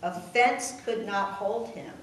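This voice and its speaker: An older woman reading a rhyming tribute poem aloud with a measured, sing-song delivery. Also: mid-range voice. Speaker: family member reading her own poem. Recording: echoey room, distant mic, faint noise.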